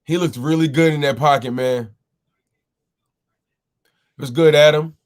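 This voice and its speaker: Slow-talking man hosting a sports stream. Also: a little high, steady tone. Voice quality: slightly scratchy voice. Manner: repetitive, exclaiming, greets chat viewers. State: throat a bit rough. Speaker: host of a sports call-in show. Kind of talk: casual live-stream sports talk.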